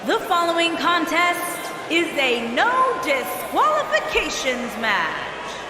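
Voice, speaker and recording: A woman's voice: extremely high pitch, very animated, takes it slowly, heavily acted. A woman playing a wrestling ring announcer in a video game, projecting formal introductions. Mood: proud, projecting. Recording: echoey arena, loud crowd noise.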